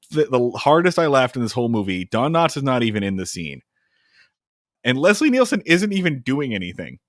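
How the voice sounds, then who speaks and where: Podcast co-host, male, lively comic storyteller who slips into goofy voices; dry, close sound. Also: mid-range voice, dry recording. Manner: animated, acts out scenes in funny voices, swears. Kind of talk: casual chat recapping a film.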